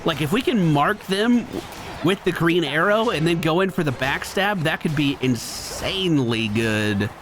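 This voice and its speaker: A man's voice: a little high, expressive, unhurried, noisy background. A man hosting a gaming video, chatting and thinking aloud analytically as he plays. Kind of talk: gameplay commentary. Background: faint game music.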